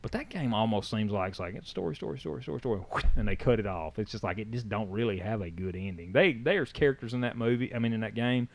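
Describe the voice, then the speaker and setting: A man's voice: lowish voice, fast talker, creaky, hardly any pauses. A man co-hosting a podcast, chatting casually in a rambling way with many restarts. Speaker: podcast co-host. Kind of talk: casual chat.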